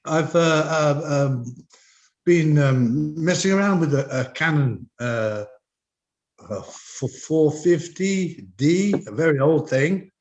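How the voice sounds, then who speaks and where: Guy with a highish voice stumbling thru a question, echoey dull call audio. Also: animated, a little mellow. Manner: halting, heavy fillers. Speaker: workshop attendee. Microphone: video call.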